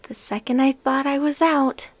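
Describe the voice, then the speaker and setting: A woman playing a lovesick, bitter ex-stalker fighting her obsession, in an emotional, halting audio roleplay monologue. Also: sits high, steady tone, natural voice. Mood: weary, conflicted. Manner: halting, emotional, swinging between hushed whispers and outbursts.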